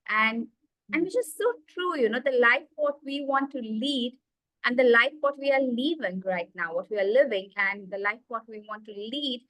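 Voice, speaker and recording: A woman's voice: on the high side, a bit bright, dry recording, low-quality recording. A woman in her thirties with an Indian accent, a guest telling her life story eagerly over a video call, with many fillers. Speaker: guest, executive coach. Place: small room indoors.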